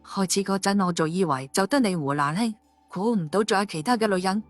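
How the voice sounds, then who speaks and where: A woman's voice: mid-range voice, a little fast, faint music behind, close to an everyday voice. A woman playing an angry, suspicious policewoman and wronged wife in an audiobook drama, scolding bluntly. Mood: upset, indignant.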